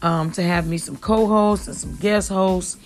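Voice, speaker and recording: A woman with a raspy voice hosting her own podcast from home, rambling casually and full of slang. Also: mid-range voice, steady tone, a little slow. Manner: slangy, chatty, addresses listeners as y'all. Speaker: podcast host.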